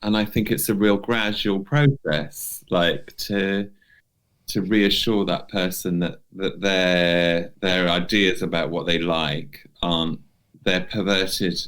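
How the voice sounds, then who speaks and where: A man co-hosting a podcast, giving advice informally and haltingly, often saying "like". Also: sits low, a bit bright, smooth. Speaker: podcast co-host. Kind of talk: casual advice chat. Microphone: remote recording from separate location.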